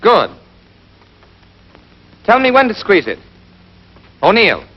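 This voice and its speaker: A man playing a brisk, exacting American army rifle instructor in a scripted 1940s training film, drilling and quizzing with emphasis. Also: high voice, wildly swooping pitch, heavily acted. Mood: brisk, instructive. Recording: dull band-limited 1940s film soundtrack.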